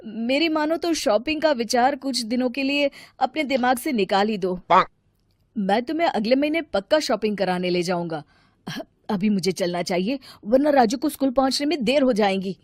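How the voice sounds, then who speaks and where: A weary cartoon family man, acted by a woman with a fairly high voice. He placates and explains himself in an appeasing way, recorded dry and close. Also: expressive, normal speed, darkish tone, dry recording, natural voice. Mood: placating. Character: harried, placating husband and father, short of money. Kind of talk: animated moral story dialogue.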